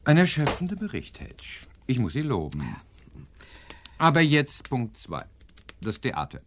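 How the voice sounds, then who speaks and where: An actor playing a pompous, exacting scientist-detective in a German radio crime drama, pedantic and condescending. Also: male voice, mid-range voice, huge pitch swings, a little slow, noisy background. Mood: imperious.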